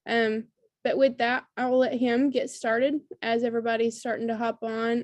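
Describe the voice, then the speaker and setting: A woman's voice: fairly high pitch, no room sound. A woman hosting a webinar and introducing the night's speaker in an upbeat way, with some "um" fillers. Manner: upbeat, with "super excited" and "um" fillers. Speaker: webinar host. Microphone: video call.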